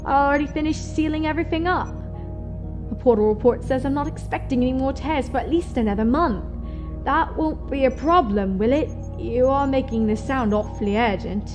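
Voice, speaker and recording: Woman acting a high-voiced, plucky British pony. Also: music in the background, natural voice. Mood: flustered. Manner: brisk, quaint British turns of phrase. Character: plucky, busy female pony timekeeper, quaintly British. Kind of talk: audio drama acting.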